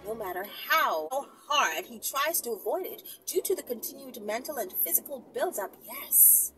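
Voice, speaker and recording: A woman's voice: a little high, expressive, moderate pace, bright, forceful tone, distant mic, faint music behind, low-quality recording. A woman reading a story aloud in a dramatic style, with playful, teasing asides to her listeners. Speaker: storyteller, channel host.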